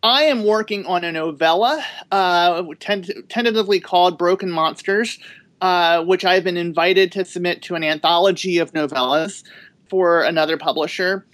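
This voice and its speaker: A woman author speaking as a guest on a podcast panel, reflective and halting, with many restarts. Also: fairly low pitch. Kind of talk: podcast interview.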